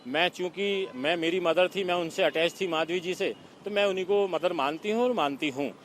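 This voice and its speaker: An Indian man, a police officer, giving halting interview answers sprinkled with English words. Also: high voice, fairly bright tone, soft background music.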